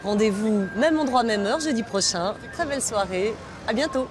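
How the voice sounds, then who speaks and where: A woman presenting a TV music segment, delivering a clear, scripted sign-off. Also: a little high, lively intonation, normal speed. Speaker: TV show presenter.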